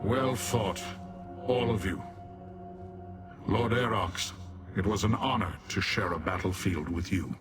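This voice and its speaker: An actor playing an honorable warrior in a fantasy video game, speaking in a formal, measured way. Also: male voice, on the low side, lightly acted. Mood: proud, formal. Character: honorable male warrior ally, formal, steady and reassuring. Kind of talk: video game character acting. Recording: music behind.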